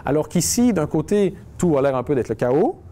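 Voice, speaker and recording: Wry man with a mellow voice. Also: fairly high pitch, very animated, moderate pace, slight background noise. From Quebec. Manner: conversational, wry, restarts often. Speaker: interviewee. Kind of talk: casual interview.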